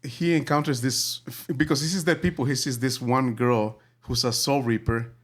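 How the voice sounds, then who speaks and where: A man from El Salvador chats about a film on his podcast in a middle-pitched voice. He stumbles and restarts often, peppering his talk with fillers and chuckling as he recounts scenes. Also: steady tone. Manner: halting, many fillers and restarts. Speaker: podcast host. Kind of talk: casual movie review chat.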